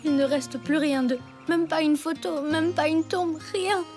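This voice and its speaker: A woman's voice: high voice, unhurried, over music. A woman playing a guarded, wounded young refugee schoolgirl in a French cartoon, speaking tersely and plaintively. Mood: grieving.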